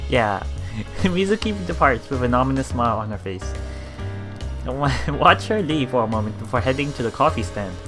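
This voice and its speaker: A woman gives casual game commentary with a lively, varied pitch. She reads lines aloud and reacts with giggles and repeated words. Soft music plays behind her voice. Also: normal speed. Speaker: let's play streamer. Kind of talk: casual commentary while reading visual novel lines aloud. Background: visual novel game music.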